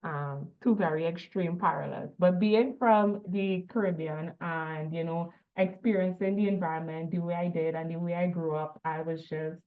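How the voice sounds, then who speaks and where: A young woman from Trinidad with a Trinidadian accent, giving a lively, chatty presentation full of asides. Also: on the low side, normal speed, few pauses, smooth. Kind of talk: conference talk. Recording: tinny phone-like sound.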